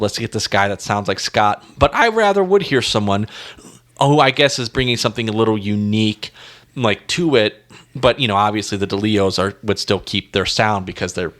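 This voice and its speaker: A man co-hosting a casual music discussion, halting and repeating himself for emphasis. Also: fairly low pitch, a bit bright. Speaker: music podcast co-host.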